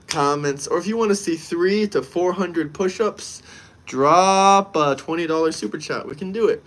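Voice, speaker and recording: Live streamer from the United States, man, higher-pitched voice, brash and repetitive, casual chat. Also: fairly bright tone.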